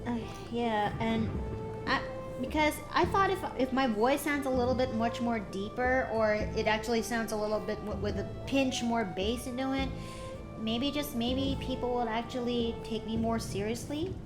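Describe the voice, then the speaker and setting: A woman's voice: fairly high pitch, normal speed. A young woman streamer chatting casually, rambling with many restarts and fillers. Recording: music playing behind.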